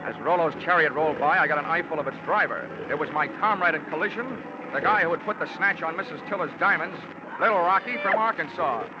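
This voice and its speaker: An actor playing a wry, hard-boiled insurance investigator in 1940s radio style, with deadpan quips. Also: male voice, fairly high pitch, fast talker, lightly acted. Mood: dramatic narration. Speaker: lead actor and narrator. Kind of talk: 1940s radio detective acting. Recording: noisy, muffled 1940s radio recording.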